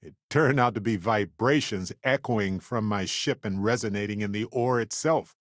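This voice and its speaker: A man voicing an upbeat, wisecracking, warm-hearted space explorer companion in a video game, chatty and wry with theatrical emphasis. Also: fairly low pitch, moderate pace, no echo, studio quality, natural voice. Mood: wry, conversational.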